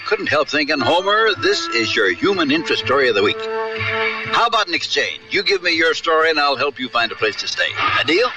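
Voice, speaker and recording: A man's voice: a little high, big pitch swings, a little fast, far from the mic, music in the background, low-quality recording. A man playing a breezy, nosy Old West reporter in a radio drama, talking in a folksy, wry way.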